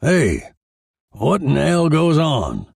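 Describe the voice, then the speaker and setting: A man acts out a big, tough homicide detective from a noir crime drama. His voice is heavily creaky and husky, swinging widely in pitch. He talks in brusque slang, cynical and exasperated. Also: huge pitch swings, extremely slow, dry recording, studio quality, heavily acted. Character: hard-boiled gruff police detective, big man, cynical.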